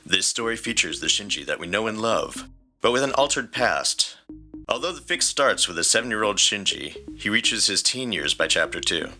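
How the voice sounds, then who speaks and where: A man's voice: lowish voice, very animated, normal speed, pushed and bright, faint music behind. A man co-hosting a podcast, reading a scripted introduction. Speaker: podcast co-host.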